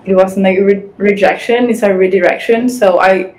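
A young woman whose first language is Spanish, speaking English with a Spanish accent as an interview guest, chatty and quick with lots of "like" fillers, on a video call from home. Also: mid-range voice, fairly even intonation, darkish tone. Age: young adult. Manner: chatty, fast, many "like" fillers. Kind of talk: casual interview chat. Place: home room with city windows.

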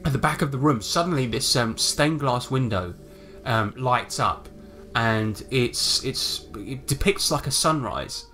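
Man game master with lively pitch. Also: measured pace, hardly any pauses, soft background music. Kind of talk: improvised tabletop game narration.

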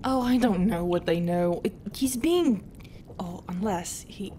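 Casting: actress as a flustered, soft-hearted lady pirate captain for intimate audio roleplay; unhurried, hesitant, half-whispered, over noticeable ambience. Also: mid-range voice, a little hiss or hum, lightly acted. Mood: exasperated, teasing. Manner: hesitant, halting, often breathy half-whisper. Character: conflicted, flustered female pirate captain, gruff but tender. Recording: steady ambient sound effects behind voice.

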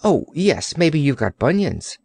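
A woman voicing a calm, helpful young girl in a storybook reading. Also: low voice, a little slow, gravelly, slightly rough, dry recording, pitched above the performer's own voice, heavily acted.

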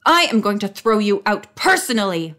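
A woman voicing an outraged male photographer in a storybook, barking forcefully and indignantly. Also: mid-range voice, big pitch swings, normal speed.

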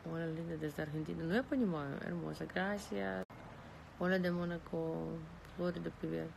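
Relaxed Russian woman chatting on a live stream. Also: sits low, normal speed, gravelly, slight background noise, rough recording. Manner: relaxed, lying back, reading comments aloud and answering. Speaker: livestream host answering viewer comments. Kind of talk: casual live chat, switching between languages. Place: bedroom, lying against pillows indoors. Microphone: phone held close.

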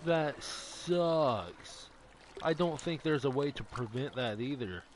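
A man's voice: medium pitch, expressive, slow pace, slight background noise. A man giving casual live commentary as he streams a video game. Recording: loud game music over voice. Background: loud game music.

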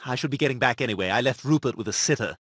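An actor playing a breezy middle-aged buddy in an animated sitcom. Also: male voice, mid-range voice, rapid-fire, husky, no room sound, clean studio sound.

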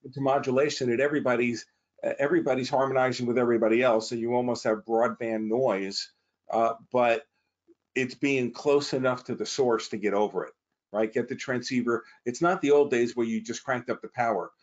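A man's voice: mid-range voice, steady tone, brisk, lo-fi sound. A man speaking as a company guest, informally explaining technical matters on a webinar over a video call.

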